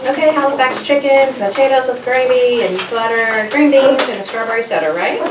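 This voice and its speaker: A woman playing a brisk waitress taking orders at a sci-fi table read. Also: high voice, lightly acted. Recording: noisy echoey room, distant mic, clatter.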